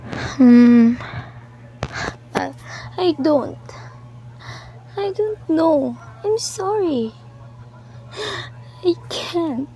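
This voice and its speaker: A woman's voice: high-pitched, expressive, dark tone, slight background noise, heavily acted. A woman voicing a frightened schoolgirl who cries and halts in a student radio drama. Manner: halting, tearful, whispering.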